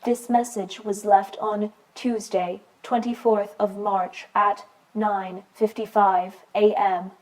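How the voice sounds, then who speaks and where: A woman voicing an impersonal answering machine, reading out announcements in a measured, formal manner. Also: medium pitch, little pitch movement, natural voice. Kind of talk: scripted voicemail announcement. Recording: clearly noisy, clicking answering-machine sound.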